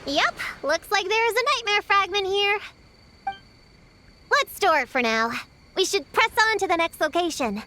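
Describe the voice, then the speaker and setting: A woman's voice: extremely high pitch, lively intonation, moderate pace, long pauses, sharp tone, a little hiss or hum, full character voice. An actress voicing a bookish little girl who leads a group of young witches in a video game, earnest and take-charge. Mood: commanding.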